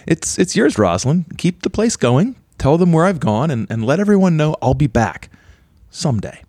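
A man's voice: on the high side, sing-song, moderate pace, darkish tone, dry recording, studio quality. A man playing an earnest, mild-mannered former cult guru in an audio drama, speaking sincerely and a little hesitantly. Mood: wistful, sincere.

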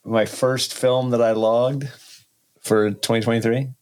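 A man's voice: mid-range voice, steady tone, a little slow, darkish tone, clear, resonant tone. A man co-hosting a casual podcast, making dry, wry jokes in easy conversation. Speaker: podcast co-host. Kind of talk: casual chat.

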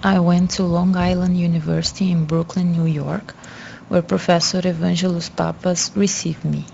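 Young woman reading her interview answers, low-ish voice, pretty monotone, muffled recording. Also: normal speed, creaky. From Brazil. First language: Portuguese. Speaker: guest student. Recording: dull lo-fi audio, faint noise.

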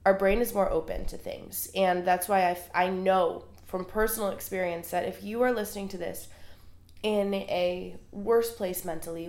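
A woman's voice: lowish voice, darkish tone. Congested young woman hosting a podcast. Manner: chatty and earnest, many "like"s. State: congested with a cold. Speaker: podcast host. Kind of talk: casual solo podcast monologue. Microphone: small handheld recorder. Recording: roomy echo.

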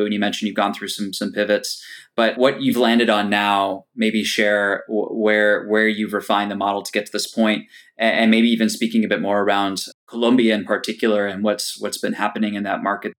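A man hosting a podcast, reading a measured introduction and then asking friendly questions that stumble a little when he improvises. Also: lowish voice, little pitch movement, fairly bright tone. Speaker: podcast host. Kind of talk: scripted intro and interview questions.

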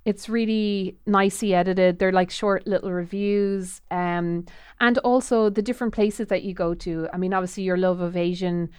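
A woman's voice: medium pitch, steady tone, moderate pace, a little mellow, smooth, no room sound, clean studio sound. A woman hosting a casual interview, chatty and effusive, often trailing off and restarting. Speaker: podcast host.

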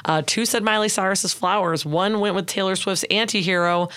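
A woman with a raspy voice, chatting casually and conversationally as co-host of a podcast. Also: mid-range voice, moderate pace, fairly bright tone.

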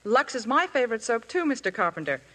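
A woman's voice: fairly high pitch, big pitch swings, fast talker, sharp tone. A young woman, an actress guest, chatting politely and earnestly in a scripted exchange. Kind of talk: scripted intermission chat.

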